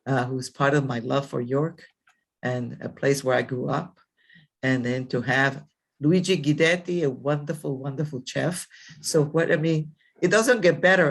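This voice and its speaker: A woman's voice: very deep voice, creaky. An older woman from the Dominican Republic, a college president, speaks at a virtual ceremony. Her speech is rambling and full of fillers and restarts, heard over a video-call microphone.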